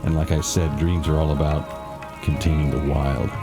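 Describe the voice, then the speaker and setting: A man acting as a brooding narrator, telling a haunted childhood memory in dramatic, measured, scripted style. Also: rumbling low, little pitch movement. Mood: somber, haunted. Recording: loud music behind.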